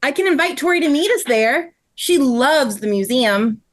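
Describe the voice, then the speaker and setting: A woman playing a sweet, eager, helpful friend in a children's story table read, with friendly, expressive delivery. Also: sits high, normal speed.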